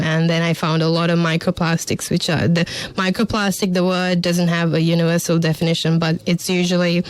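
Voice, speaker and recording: Woman, guest scientist, even monotone delivery, steady and explanatory. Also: fairly low pitch, smooth, no echo. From Sri Lanka. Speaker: marine biologist guest. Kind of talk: radio interview answers. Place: radio studio.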